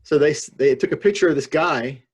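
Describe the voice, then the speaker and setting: Husky man in casual, joking chat. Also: a little high, fairly quick. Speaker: podcast panelist. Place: remote video call.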